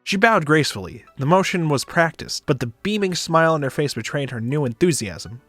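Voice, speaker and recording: Guy reading a visual novel on stream, slightly high voice, dry sound, faint music. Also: lively intonation, fairly quick, fairly bright tone, no echo. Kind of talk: first-person story narration with casual asides.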